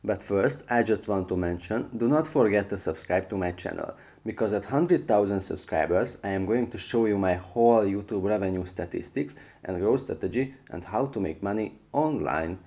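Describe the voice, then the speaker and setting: Low-voiced man narrating a tutorial. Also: normal speed, few pauses, dark tone. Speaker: tutorial host. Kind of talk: scripted how-to narration.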